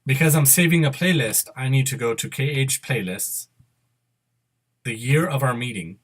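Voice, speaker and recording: A middle-aged man walks through a computer task step by step. His pitch sits in the middle range for a man. He speaks without a script, in a halting, instructional way, restating and correcting as he goes. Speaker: software tutorial presenter. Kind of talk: step-by-step screen demo narration. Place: indoor room at a computer.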